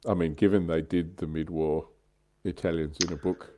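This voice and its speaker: A man's voice: sits low, fairly even intonation, normal speed, a little mellow. A man hosting a livestream, chatting casually and jokingly about tabletop wargaming. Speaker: livestream host.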